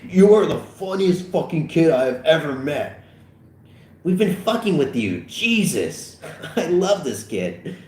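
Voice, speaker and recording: Man acting a loud, brash cop, pitched up. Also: huge pitch swings, a little slow, echoey room, a little hiss or hum. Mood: amused. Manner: blustering, swearing. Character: brash, foul-mouthed male police officer, boisterous and joking.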